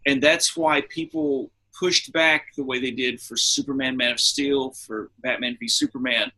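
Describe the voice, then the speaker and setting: Bright, slightly husky man, emphatic podcast host. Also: mid-range voice, steady tone, normal speed, rough recording. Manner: emphatic, opinionated, profane. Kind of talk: casual pop culture debate.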